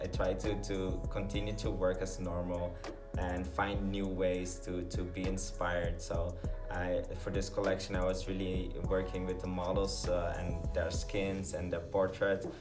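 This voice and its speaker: A man in his twenties from Curaçao, raised in the Netherlands, a fashion student answering in a measured, thoughtful way. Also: sits low. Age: 20s. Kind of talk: recorded interview answers. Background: music.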